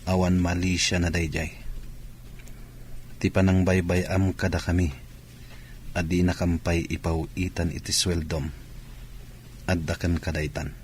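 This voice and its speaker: A man's voice: low-pitched, slight background noise. A man playing a troubled, wronged man in a radio drama, speaking solemnly and earnestly.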